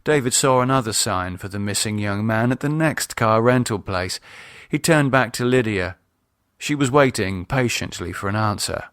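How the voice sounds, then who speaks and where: A man reading scripted novel narration in a measured storytelling manner. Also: fairly low pitch, a bit bright, clean tone, no room sound, clean studio sound. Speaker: author narrating his own novel.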